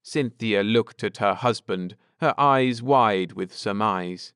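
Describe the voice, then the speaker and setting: A man narrates a bedtime audiobook at an unhurried pace. His voice is smooth and resonant, with lively intonation, recorded close and dry in a measured storyteller's delivery. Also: fairly low pitch, no room sound. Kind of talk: scripted bedtime story narration, read aloud.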